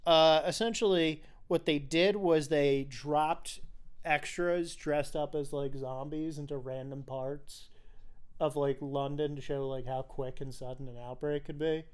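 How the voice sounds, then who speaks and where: A man's voice: a little high, fairly even intonation, unhurried, clean tone. A man hosting a casual film podcast, talking in an informal, explanatory way. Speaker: podcast host.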